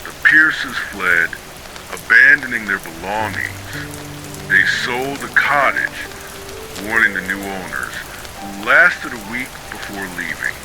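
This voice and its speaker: A man reading scripted ghost stories for sleep in a measured, dramatic storytelling manner. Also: on the low side, very animated, music in the background, rough recording. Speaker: narrator of horror stories.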